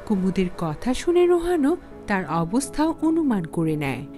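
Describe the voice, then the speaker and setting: A woman's voice: medium pitch, sing-song, unhurried, mellow. A woman narrating a scripted horror story in a dramatic style. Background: background music.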